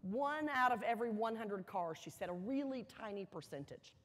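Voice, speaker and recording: A woman giving a motivational speech, telling a personal story deliberately, with dramatic pauses and emphatic repetition. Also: fairly high pitch, expressive, a bit bright, slight background noise. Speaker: keynote speaker. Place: large hall, speaking to an audience. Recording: echoey hall, long reverb.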